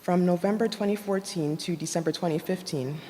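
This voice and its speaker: A woman with a soft voice delivers a prepared academic talk. Her delivery is measured and formal, with careful wording. The room adds long reverb, and some noise sits behind her. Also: on the low side. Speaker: academic presenter, designer. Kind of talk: prepared conference talk read from notes. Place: indoor conference room at a university. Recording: reverberant hall, noticeable background noise.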